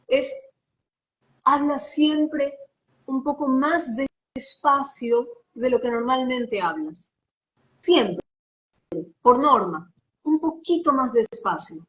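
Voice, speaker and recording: Woman, voice-over artist, slightly high voice with a light sing-song lilt, emphatic and teacherly, heard over a video call. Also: low-quality recording. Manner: emphatic, teacherly, repeats phrases. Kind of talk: live interview, giving advice.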